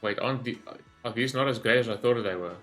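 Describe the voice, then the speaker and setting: A man's voice: mid-range voice, fast talker, fairly bright tone, soft background music. A man giving casual gameplay commentary, rambling as he thinks aloud and swearing freely. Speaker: gaming streamer recording a reaction video.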